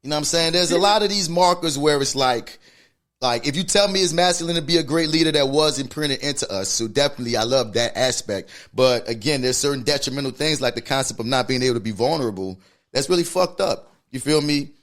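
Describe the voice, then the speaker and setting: A man with a New Orleans accent co-hosting a casual podcast discussion, animated and swearing freely. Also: medium pitch, fast talker. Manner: animated, swears freely, tags lines with "you feel me". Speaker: podcast co-host.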